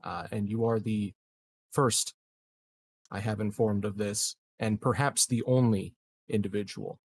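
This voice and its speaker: A man playing a calculating colonial leader in a tabletop game, speaking formally and haltingly like a careful politician. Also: lowish voice, fairly even intonation. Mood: measured, guarded. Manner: formal, measured, halting diplomatic phrasing. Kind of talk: improvised tabletop roleplay dialogue.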